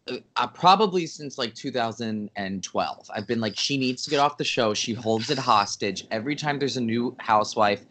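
A man with a slightly raspy voice, a guest on a podcast, chatting in an animated and gossipy way. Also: medium pitch. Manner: animated and gossipy, says "baby" and "babe". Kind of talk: casual chat.

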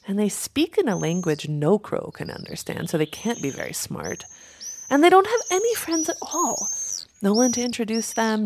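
Indignant female crow for a storybook, acted by a woman: suspicious, emphatic, gravelly, animated. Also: fairly low pitch, background noise. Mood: grumbling, suspicious. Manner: emphatic, grumbling, earnest.

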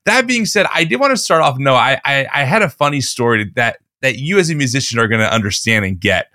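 A man with a slightly hoarse voice hosts a casual podcast chat, telling a long story with relaxed restarts. His tone is bright and forceful. He is recorded close on a dry studio mic. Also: mid-range voice, fast, no echo. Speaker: podcast host.

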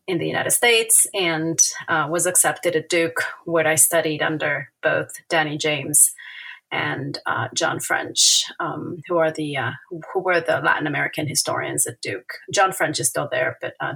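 A middle-aged woman from Argentina, a native Spanish speaker, talking about her research in an interview and pausing often with fillers. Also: deep voice, vocal fry, pushed and bright, husky, low-quality recording. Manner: thoughtful, many hesitations and fillers. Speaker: guest author, historian. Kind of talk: academic interview.

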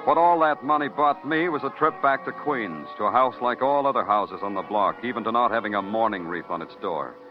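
A man's voice: on the low side, fairly quick, hardly any pauses, close to an everyday voice. An American man playing a hard-nosed police detective who narrates moodily and questions curtly in a radio crime drama. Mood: brooding. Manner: clipped questioning, moody poetic narration. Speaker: narrator and lead actor. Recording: muffled vintage radio recording, loud music.

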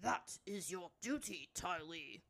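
A stern, calm male elder, voiced by a woman reading a story, speaks slowly and solemnly. His delivery is grave and controlled. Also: fairly low pitch, exaggerated voice.

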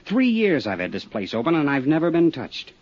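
A man's voice: a little high, wildly swooping pitch, fairly quick, a little mellow. A man playing a tough, cagey small-town roadhouse owner with an American accent in a 1950s radio drama, talking in defensive, streetwise slang. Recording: dull 1950s radio recording.

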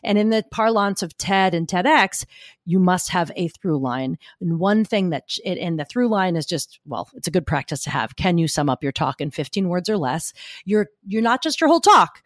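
Gruff-voiced woman, emphatic and punchy. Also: lowish voice, normal speed, no room sound, studio quality. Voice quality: gruff, gritty voice. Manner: emphatic, argumentative, animated storytelling. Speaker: guest speaker coach. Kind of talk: podcast interview.

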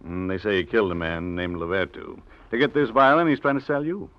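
Low-voiced, unhurried, shrewd radio lawman. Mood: knowing, patient. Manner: casual, hesitant drawn-out phrasing, quietly pressing. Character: shrewd, calmly insistent male federal police lieutenant. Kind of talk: 1940s radio acting. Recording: dull old radio recording.

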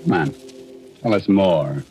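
Male 1940s horror radio host, lowish pitch, gleeful mock-macabre banter over soft music. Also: expressive, slow pace. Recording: old radio recording.

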